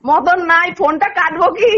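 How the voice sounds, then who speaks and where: Curt, high-pitched middle-aged woman on phone. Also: normal speed, fairly bright tone, a little hiss or hum. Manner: curt, suspicious. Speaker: prank call recipient. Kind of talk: casual phone conversation. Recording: muffled lo-fi phone call recording.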